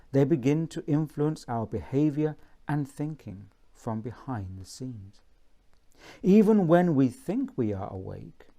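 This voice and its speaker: Slow, mellow man reading aloud. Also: medium pitch. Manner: deliberate, with long pauses between phrases. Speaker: author reading from own book. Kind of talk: measured book reading, bedtime-story narration.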